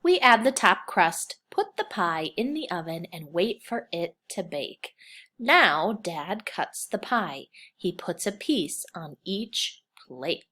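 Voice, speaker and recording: A woman's voice: on the low side, very animated, slow, bright, forceful tone, slightly rough. A woman reading a children's picture book aloud as a kindergarten teacher, expressively, with simple phrasing and questions put to young listeners.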